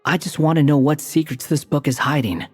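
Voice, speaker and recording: A man voicing an aloof, sharp-tongued young wanderer in a video game, dry and curt. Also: on the high side, brisk, natural voice. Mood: serious. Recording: echoey game audio with music.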